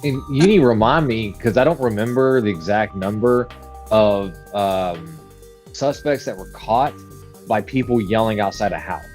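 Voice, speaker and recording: A man's voice: fairly low pitch, lively intonation, moderate pace, darkish tone, soft background music. A man co-hosting a commentary show, chatting casually and critically in agreement with his partner. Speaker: co-host.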